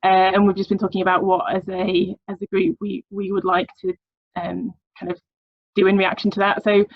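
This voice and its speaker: A woman from a steering group announcing an upcoming event over a video call, speaking in a hesitant, measured way. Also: mid-range voice, monotone, no echo, muffled recording.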